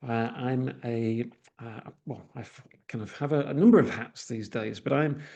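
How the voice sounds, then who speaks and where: A man's voice: medium pitch, normal speed, gravelly, warm tone, highs cut off. A man from northwest England with a Manchester accent, giving a webinar presentation with frequent uhs and restarts. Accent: Mancunian.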